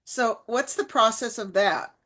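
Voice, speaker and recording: A woman's voice: a little high, measured pace, pushed and bright. A woman hosting an interview, asking long, winding questions full of "like" and restarts. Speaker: podcast host.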